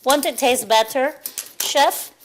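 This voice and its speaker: A woman's voice: high voice, takes it slowly, slight background noise. A woman co-hosting a cooking show, chatting with bubbly enthusiasm. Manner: bubbly, enthusiastic, laughs easily. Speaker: co-host.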